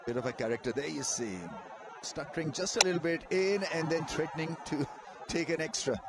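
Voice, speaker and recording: A man's voice: fairly high pitch, expressive, brisk. A man commentating on live cricket, giving descriptive, admiring analysis of the players. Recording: loud stadium noise behind.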